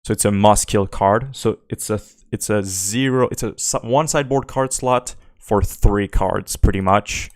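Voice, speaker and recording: A man's voice: fairly low pitch, moderate pace, hardly any pauses, dry recording, studio quality. A French Canadian man whose first language is French, streaming a card game and thinking aloud while answering chat questions. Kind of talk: live gameplay commentary.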